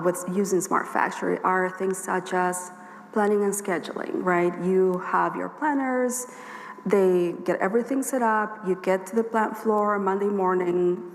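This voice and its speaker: A woman with a hoarse voice giving a workshop presentation at a conference, explaining her points steadily and speaking straight to the audience. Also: on the low side. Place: conference hall. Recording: echoey hall with long reverb, faint ambience.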